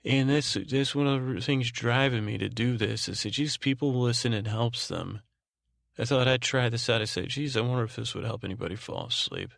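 A man hosting a sleep podcast in a soothing drone, meandering and halting as he repeats himself and trails off to lull listeners. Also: mid-range voice, expressive, creaky, no room sound, studio quality. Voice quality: soothing, soft-spoken drone. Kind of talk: rambling bedtime monologue meant to lull.

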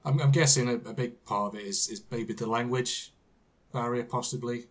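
A man's voice: mid-range voice, fairly even intonation, moderate pace. A British man hosting a casual podcast interview, hesitant, often repeating words and laughing. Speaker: podcast host.

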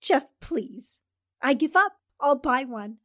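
A woman's voice: high voice, expressive, slow, fairly bright tone, no echo, clean studio sound, exaggerated voice. A woman acting a determined, easily exasperated newspaper reporter in a 1940s-style radio drama. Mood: amused exasperation.

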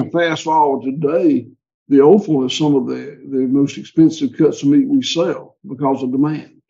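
A man's voice: mid-range voice, steady tone, normal speed, gravelly, warm tone, dull audio. A man with a Southern US accent, a livestock farmer talking in an interview, rambling and folksy with frequent restarts. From American South. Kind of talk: conversational interview.

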